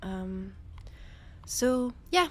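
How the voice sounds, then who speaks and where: A young woman with a French accent playing a laid-off space miner in an audio roleplay, chatting hesitantly with her best friend and trailing off into pauses. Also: medium pitch, lively intonation, a bit bright. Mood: rueful.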